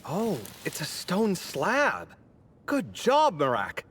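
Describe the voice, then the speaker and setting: A man's voice: high voice, huge pitch swings, slow, background noise, heavily acted. An actor playing an exasperated young man on a desert expedition in a video game, griping expressively. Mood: eager.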